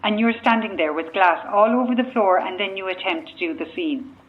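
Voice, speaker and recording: A woman's voice: mid-range voice, a little fast. A woman playing an exasperated, sarcastic talent agent in an audio drama, heard through a phone speaker. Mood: stern, scolding. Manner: clipped, sarcastic, scolding. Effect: phone speaker filter. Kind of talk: modern audio drama acting. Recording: tinny speakerphone line.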